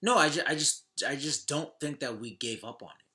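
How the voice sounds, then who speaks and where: A man chatting casually on a podcast, animated and repeating phrases for emphasis in loose back-and-forth talk. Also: fairly high pitch, brisk. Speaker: podcast co-host or guest. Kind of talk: casual podcast chat about video game movies.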